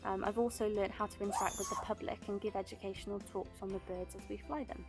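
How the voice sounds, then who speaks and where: Woman, mid pitch, clear upbeat testimonial read over soft music. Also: steady tone, fast. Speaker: bird of prey centre apprentice. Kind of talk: prepared testimonial.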